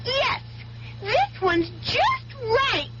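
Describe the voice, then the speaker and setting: A woman's voice: very high-pitched, sing-song, very slow, exaggerated voice. A woman playing a perky, dainty storybook girl in a 1970s radio commercial, cute and gushing. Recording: dull, faintly humming 1970s radio recording.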